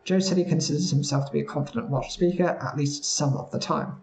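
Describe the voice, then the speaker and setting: A man reading news stories aloud at a steady pace, sometimes stumbling over words. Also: fairly high pitch, fast talker, gravelly, echoey room. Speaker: news reader.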